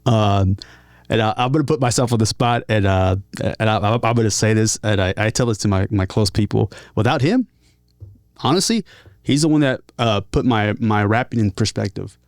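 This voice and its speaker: A man shares personal stories in a casual interview. He speaks earnestly and haltingly, often repeating words as he goes. The sound is close and dry. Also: fairly low pitch, no room sound. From San Diego, California. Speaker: interview guest, talkbox musician and rapper.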